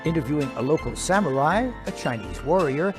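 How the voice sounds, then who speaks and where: A man's voice: a little high, lively intonation, fairly quick. A man acting as master of ceremonies, introducing the next sketch in a show, with music behind his voice.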